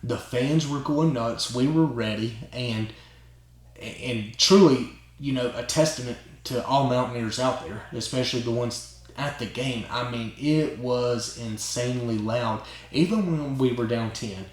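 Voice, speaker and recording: A man hosting his own channel tells a long story in a casual, excited way, rambling and often tagging lines with "right?" and "man". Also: medium pitch, a little slow. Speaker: channel host. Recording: roomy echo.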